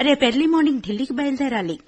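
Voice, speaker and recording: A woman's voice: sits high, animated, racing, darkish tone. An actress in her twenties playing the bossy elder daughter, a postgraduate student, in a radio play. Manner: bossy big-sister tone. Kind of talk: radio drama acting.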